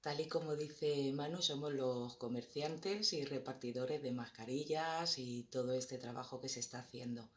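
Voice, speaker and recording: Man from Spain, casual and medium-pitched. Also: moderate pace, few pauses, a bit bright. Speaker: volunteer mask distributor. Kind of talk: casual greeting of thanks.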